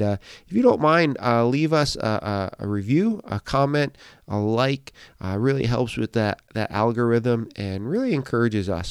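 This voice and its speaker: A man with a slightly low, smooth voice and a mild speech impediment talks in an unhurried, halting way, often restarting his words. He hosts a devotional podcast as a pastor. The sound is dry and close, with no room around it. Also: darkish tone, no echo. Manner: halting, many fillers and repeated words. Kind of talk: reflective devotional podcast talk.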